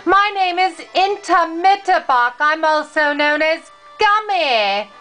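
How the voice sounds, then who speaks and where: An older woman reading a storybook aloud to a grandchild in a deliberate, dramatic, expressive manner. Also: very high voice, soft background music.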